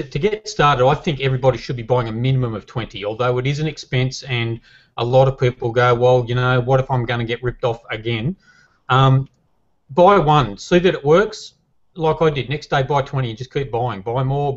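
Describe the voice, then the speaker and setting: A man giving a casual testimonial on a call, relaxed and enthusiastic, with many ums. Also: medium pitch, normal speed, lo-fi sound. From Australia. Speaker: guest member.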